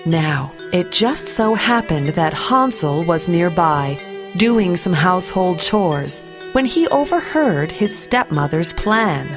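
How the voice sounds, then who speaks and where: A woman storyteller reading a scripted bedtime fairy tale for children in a measured, expressive way. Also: fairly low pitch, over music.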